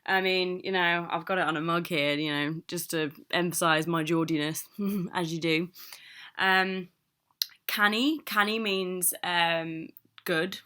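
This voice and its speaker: A young woman with a Midlands accent, chatting excitedly in a casual video message, with lots of ums and laughs. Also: lowish voice, a little slow, smooth. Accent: English Midlands. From born in Newcastle, raised in the English Midlands. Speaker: travel blogger.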